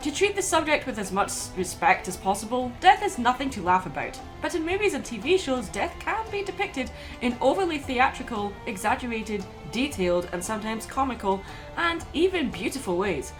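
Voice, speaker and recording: A woman's voice: on the high side, very animated, fairly quick. A woman host reading a scripted video essay in an opinionated, emphatic way. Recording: music playing behind the voice.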